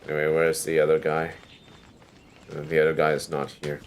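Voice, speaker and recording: A man's voice: extremely low pitch, monotone, brisk, a little hiss or hum. A man commenting casually on a game livestream, with hesitant, muttered reactions. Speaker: game streamer. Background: game music.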